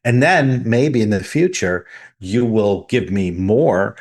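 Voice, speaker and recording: A man's voice: lowish voice, lively intonation, slow, darkish tone. A man hosting a podcast, methodically explaining his points in order. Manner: methodical, lays out numbered points. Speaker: podcast host.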